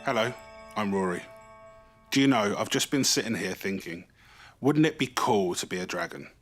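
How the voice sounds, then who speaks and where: A man reading a children's bedtime story in an expressive, animated way, stretching key words for emphasis. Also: on the low side, normal speed, faint music behind.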